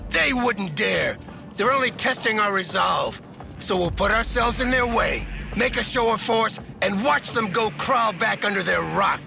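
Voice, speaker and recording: Under loud music, a stubborn military general barks in a gritty, creaky voice. It sits high for a man. He is blunt and commanding, a hawkish game character with a short fuse. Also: lively intonation, unhurried, exaggerated voice. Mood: resolute. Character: hot-headed, stubborn hawkish American army general. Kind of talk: dramatic video game voice acting.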